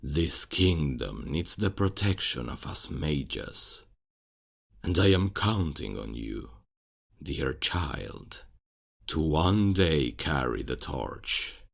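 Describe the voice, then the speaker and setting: Man acting a very deep, gravelly old archmage. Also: expressive, exaggerated voice. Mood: gentle, encouraging. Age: older. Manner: gentle, solemn, measured mentoring. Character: kindly old male archmage, proud, fatherly mentor. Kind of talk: fantasy ASMR roleplay acting.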